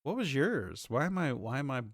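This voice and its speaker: A man's voice: medium pitch, sing-song, normal speed, dry recording, clean studio sound. A man in his thirties chatting casually as a podcast host, informal and conversational, often thinking aloud. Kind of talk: casual chat about TV and games.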